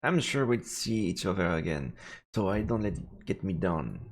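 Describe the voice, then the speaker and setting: A man's voice: low voice, animated, moderate pace. A man reading visual novel narration aloud as he streams a let's play. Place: indoors, streaming setup. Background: faint game music.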